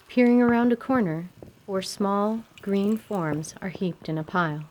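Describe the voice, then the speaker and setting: A woman narrating a scripted fantasy tale in a measured, literary radio theatre style. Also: medium pitch, darkish tone. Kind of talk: scripted descriptive story narration. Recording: faint background noise.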